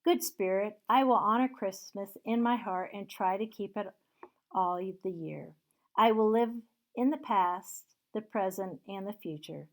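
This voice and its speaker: A woman putting on an excited old Scrooge. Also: mid-range voice, a little slow, lightly acted. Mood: pleading. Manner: loud, exclaiming, pleading. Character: old man Scrooge, overjoyed and reformed.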